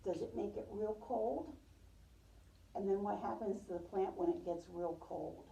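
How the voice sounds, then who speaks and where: Very mellow woman reading aloud, roomy. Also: mid-range voice, measured pace, vocal fry, slight background noise. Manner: repeats and affirms pupils' answers. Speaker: preschool teacher reading a picture book to a class. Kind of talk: storybook read-aloud with questions to children. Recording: roomy, distant microphone.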